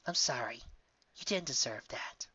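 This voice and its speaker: A man voicing an earnest, protective young female pony who speaks softly and haltingly in a story reading. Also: mid-range voice, lively intonation, breathy edge, no echo, recorded from a distance, dull audio, full character voice. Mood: apologetic. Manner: soft, halting.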